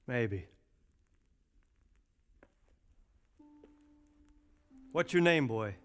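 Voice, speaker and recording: An actor playing a grave, authoritative medieval lord in a video game cutscene, speaking in a measured, commanding way. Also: male voice, mid-range voice, lively intonation, soft background music, close to an everyday voice. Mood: grave, questioning.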